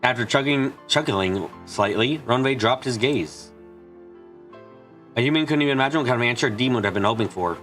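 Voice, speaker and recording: A man's voice: medium pitch, lively intonation, brisk, fairly bright tone. A man reading story narration aloud as a live dub, with occasional slips as he reads. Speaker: streamer reading a visual novel. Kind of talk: live translated narration and dubbing. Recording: music behind. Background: game music.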